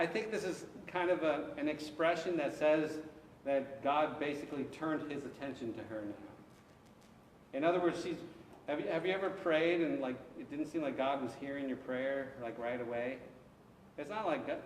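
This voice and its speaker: A man teaching scripture to his congregation in a relaxed, conversational way, answering questions from the pews. The sound is distant, with a long reverb from the sanctuary and a faint hiss of background noise. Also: mid-range voice, normal speed. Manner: conversational, takes questions, frequent restarts and acted asides. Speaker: pastor. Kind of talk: interactive Bible study teaching. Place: church sanctuary. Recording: echoey church hall from far off.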